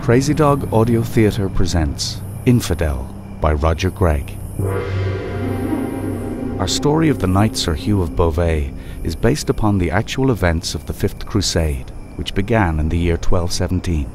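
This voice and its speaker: A man's voice: sits low, animated, measured pace, over music. A man delivering a scripted, dramatic introduction as an announcer.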